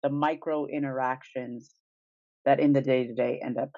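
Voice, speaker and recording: A woman's voice: extremely low pitch, fairly even intonation, normal speed, dry recording. A woman co-hosting a podcast in casual conversation, thoughtfully affirming and rephrasing what she hears, on dull audio. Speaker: podcast co-host asking questions. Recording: dull, dry, band-limited audio.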